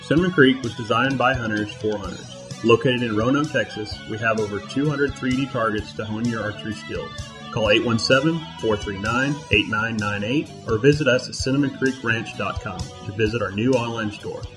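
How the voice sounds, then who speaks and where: A man with a mid-range voice reads a scripted radio ad in an upbeat, promotional tone. A clear guitar music bed plays under him throughout. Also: medium pitch, moderate pace. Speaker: ad spokesman.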